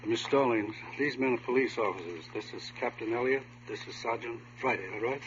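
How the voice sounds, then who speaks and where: An actor playing a matter-of-fact, protective sanitarium doctor in an old radio crime drama. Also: male voice, mid-range voice, brisk, close to an everyday voice. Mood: concerned, calm. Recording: dull, hissy old radio recording.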